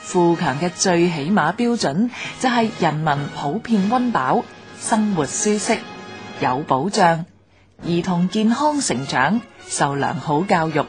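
A woman narrator with a fairly low voice and a Hong Kong Cantonese accent. She reads prepared documentary script formally and with measured diction, over music.

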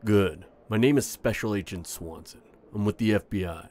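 Man acting deep-voiced, irritable FBI agent. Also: normal speed, a little mellow, lightly acted. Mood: businesslike. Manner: curt, exasperated, official. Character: weary, irritable male FBI special agent, blunt. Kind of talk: audio drama acting.

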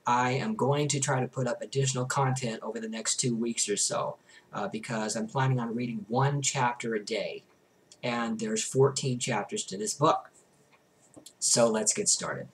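A man's voice: medium pitch, steady tone, moderate pace, a little hiss or hum. A young adult man reading a book aloud expressively, with an emphatic, declamatory delivery. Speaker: reader, vlogger. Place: small bedroom, indoors.

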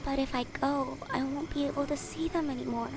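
A woman's voice: sits high, fairly even intonation, fairly quick, noisy background, natural voice. An actress playing a sad, lonely little girl ghost in a radio play. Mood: scared, hesitant.

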